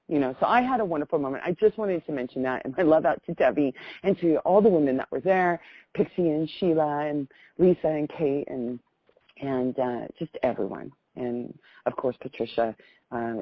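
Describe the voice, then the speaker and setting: A woman's voice: rumbling low, lively intonation, moderate pace, husky, dry recording. Muffled phone call, effusive American woman. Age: middle-aged. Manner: effusive, rambling storytelling. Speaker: phone caller. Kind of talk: casual spoken personal account.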